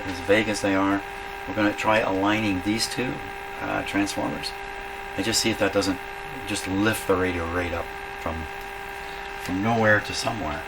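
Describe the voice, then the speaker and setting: A man giving an informal hands-on repair demonstration, thinking aloud in a halting way as he works. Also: on the low side. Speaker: radio repair hobbyist demonstrating. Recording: loud mains hum and workshop noise.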